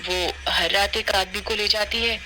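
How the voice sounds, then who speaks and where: Cartoon village wife played by a man, high voice, earnest and brave, music underneath. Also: steady tone, unhurried, bright, forceful tone, heavily acted, poor audio. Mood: hesitant.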